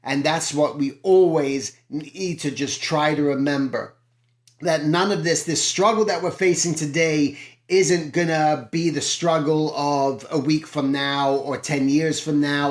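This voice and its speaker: An earnest man with a slightly high voice gives a motivational talk as an art teacher. He encourages his listeners and keeps looping back on his own phrases and questions. The sound is roomy and echoey. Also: steady tone, normal speed. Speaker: art teacher and channel host.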